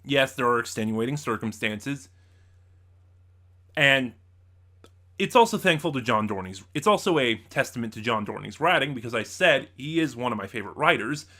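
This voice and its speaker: A man with a bright, forceful voice at an ordinary male pitch reviews for his viewers in a casual style. He talks as a host thinking aloud, stopping, starting again and rewording his points as he goes. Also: animated. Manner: halting, thinking aloud, often backtracking and rephrasing. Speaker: audio drama reviewer, channel host.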